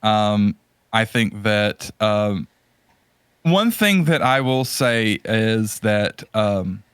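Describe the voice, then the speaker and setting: A man's voice: fairly low pitch, lively intonation, extremely slow, fairly bright tone, clear, resonant tone, dry recording. A man co-hosting a podcast in a casual, opinionated and rambling conversational style. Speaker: podcast co-host. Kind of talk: casual chat about video games.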